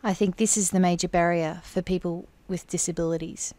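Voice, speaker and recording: Woman voicing a guest's answers, lowish voice, careful and measured, dry close sound. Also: steady tone, mellow, no echo. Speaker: sign language interpreter voicing the guest. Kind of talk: first-person interview interpreting.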